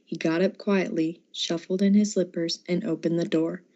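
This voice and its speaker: A woman's voice: on the low side, steady tone, normal speed, mellow, natural voice. A woman reading scripted narration as the storyteller of an amateur radio drama. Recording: dull lo-fi amateur recording.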